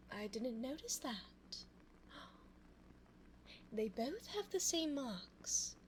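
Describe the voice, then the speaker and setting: Woman playing a high, slow-talking seductive vampire lady. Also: exaggerated voice, rough recording. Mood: feigned innocence. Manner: theatrical, coy, taunting, breathy asides. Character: evil seductive immortal female vampire, sly and possessive. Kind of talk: ASMR audio roleplay. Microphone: close binaural 3Dio microphone. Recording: faint background noise, close binaural mic.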